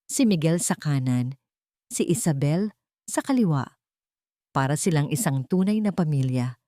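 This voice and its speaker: A woman's voice: sits low, animated, unhurried, mellow, no echo. A woman reading a scripted romantic story as its narrator.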